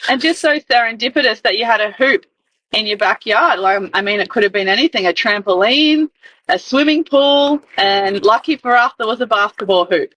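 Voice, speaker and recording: Woman co-host, bright tense tone, long talkative questions. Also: on the high side, moderate pace, rough recording. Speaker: podcast co-host. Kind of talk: interview questions.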